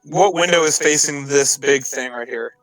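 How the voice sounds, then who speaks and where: A man's voice: mid-range voice, moderate pace, fairly bright tone, no echo, faint music behind, rough recording. A man chatting casually and insistently as a player in a tabletop roleplaying game.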